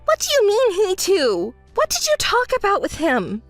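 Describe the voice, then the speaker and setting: A woman performs an entitled, hysterical young woman for a melodramatic story. Her voice is very high and swings wildly in pitch, whining and demanding with shrill edges. The recording is close and dry. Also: huge pitch swings, moderate pace, dry recording, soft background music, exaggerated voice. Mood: panicked, agitated. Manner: whiny, demanding, shrill outbursts.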